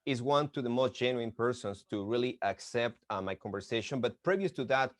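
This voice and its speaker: A man's voice: mid-range voice, lively intonation, no echo, studio quality. A man from Mexico City with a Mexican accent and Spanish as his first language, interviewing casually and searching for his words. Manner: halting, searching for words in English. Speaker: interviewer, boxing gym representative.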